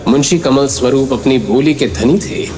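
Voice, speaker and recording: A man's voice: mid-range voice, moderate pace. A man narrating a scripted audio drama in a dramatic storytelling style. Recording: background music under the voice.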